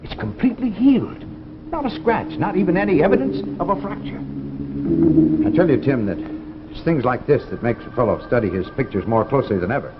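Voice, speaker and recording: An actor playing a grave, insistent doctor, speaking emphatically with dramatic pauses in 1950s radio drama style. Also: male voice, mid-range voice, animated, natural voice. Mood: intense, mystified. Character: grave, insistent male doctor confiding in his friend. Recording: dull, noisy vintage radio recording.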